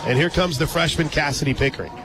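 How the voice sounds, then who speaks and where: A man doing live radio play-by-play of a softball game, mixing calls of the action with casual, conversational chat. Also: on the high side, fast. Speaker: radio play-by-play sports announcer. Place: outdoor ballpark radio booth. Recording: loud crowd and music behind broadcast. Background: loud stadium crowd and music.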